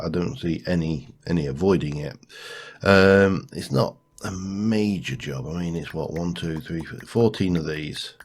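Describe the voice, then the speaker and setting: Deep-voiced man with a stuffy cold. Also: breathy edge. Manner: thinks aloud, muttering to himself. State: congested from a cold. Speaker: let's play host. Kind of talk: casual gameplay commentary.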